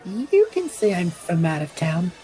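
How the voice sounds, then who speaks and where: A woman's voice: fairly low pitch, big pitch swings, a little slow, crowd noise, rough recording. A woman playing an impulsive, chatty male traveller with a flippant, theatrical manner in an audio drama. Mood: casual, amused.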